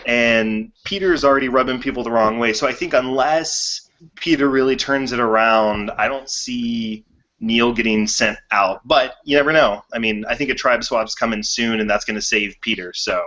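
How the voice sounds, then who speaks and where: A young adult man chats casually as a podcast guest. His pitch is average for a man, and the video call makes him sound a bit muffled. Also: moderate pace, bright, forceful tone. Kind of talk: casual chat. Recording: dull video call audio.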